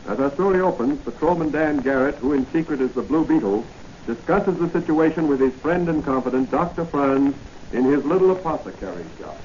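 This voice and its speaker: High-voiced dramatic radio serial announcer, man. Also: lively intonation, brisk, a little mellow. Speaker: announcer and narrator. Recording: dull, noisy 1940 radio recording.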